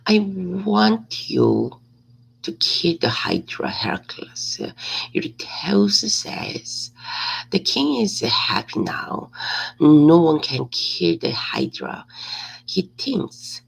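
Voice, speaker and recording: A woman reading a simple story aloud as practice, hesitantly, repeating and correcting words, with a raspy, creaky voice. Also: on the low side, wildly swooping pitch, drawn-out words, low-quality recording.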